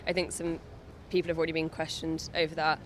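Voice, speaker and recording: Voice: medium pitch, completely flat delivery, quick pace, fairly bright tone. A person giving a tentative opinion in a street interview. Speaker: member of the public interviewed. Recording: clear outdoor street noise behind.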